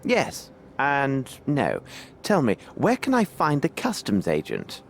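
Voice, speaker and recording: An actor playing a brisk, analytical English gentleman detective in a video game, speaking formally and precisely. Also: mid-range voice, huge pitch swings, creaky, slight background noise, full character voice. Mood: intent. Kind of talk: video game cutscene acting.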